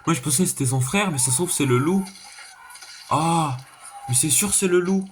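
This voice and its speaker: A man's voice: a little high, moderate pace, faint music behind. A man giving a casual, slangy reaction commentary, thinking aloud and repeating himself. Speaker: reaction video creator. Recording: clearly noisy background.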